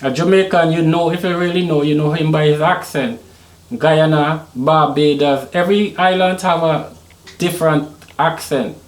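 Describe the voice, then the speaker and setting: A man's voice: fairly high pitch, fairly even intonation, normal speed, echoey room. A man with a mixed Vincentian, Trinidadian and slightly American accent, talking casually as an interview guest. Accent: Caribbean, Vincentian-Trinidadian mixed with some American. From Saint Vincent and Trinidad. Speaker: podcast guest, Caribbean hip hop artist.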